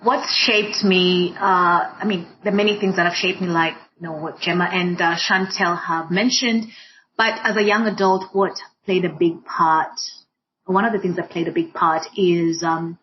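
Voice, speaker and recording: Kenyan woman, deliberate, in slightly dull audio. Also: on the low side, steady tone, a little hiss or hum. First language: Swahili. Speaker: panel guest, diversity and inclusion practitioner. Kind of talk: reflective personal account in a group discussion.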